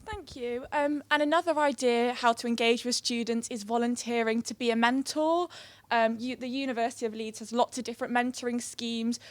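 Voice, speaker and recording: A woman's voice: a little high, steady tone, brisk. A young woman in her twenties gives a friendly, plain presentation as a recent graduate on a conference panel. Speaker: careers assistant, panel speaker.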